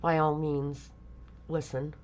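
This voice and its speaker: A middle-aged woman talking casually in a personal vlog, halting and rambling, stuffy from a lingering cold. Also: low voice, flat delivery, highs cut off, slight background noise. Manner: halting, rambling, many pauses and fillers. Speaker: vlogger.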